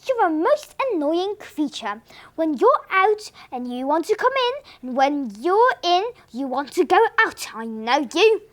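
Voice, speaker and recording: A woman's voice: high voice, big pitch swings, a little slow, a bit bright, exaggerated voice. A woman voicing a stubborn, grumbling elderly husband who is kind underneath, sounding exasperated.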